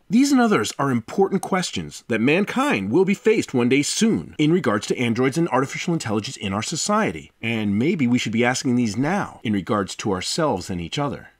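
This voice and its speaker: A male reviewer delivers scripted commentary in a mid-pitched voice that swings widely in pitch. His delivery is sarcastic and blunt, leaning theatrically on key words. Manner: sarcastic, crude, theatrically emphatic. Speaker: reviewer and host of a visual novel channel.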